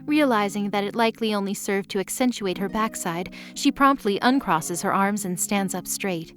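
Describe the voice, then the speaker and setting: A woman's voice: mid-range voice, moderate pace, fairly bright tone, soft background music. A woman reading scripted story narration as the narrator of an audio drama.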